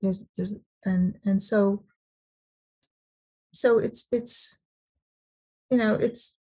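A middle-aged woman from California sharing her personal story at a recovery meeting, halting, with many restarts and repeated words. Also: mid-range voice, steady tone, mellow, slightly rough, low-quality recording. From Sacramento, California.

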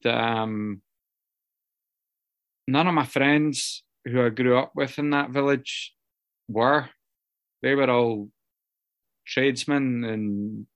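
A Scottish man telling his own story of drink and drugs, halting and candid, with many pauses, fillers and restarts. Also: mid-range voice, fairly bright tone, no echo, lo-fi sound. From Scotland. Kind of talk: personal recovery story, casual monologue.

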